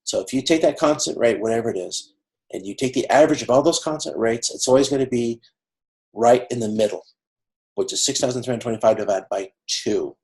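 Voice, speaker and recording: A man with a creaky voice teaching an online class informally, explaining step by step in a halting way on a video call. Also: medium pitch, a little mellow, low-quality recording. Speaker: instructor of an online college class. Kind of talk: informal lecture working through a cost example. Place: video conference.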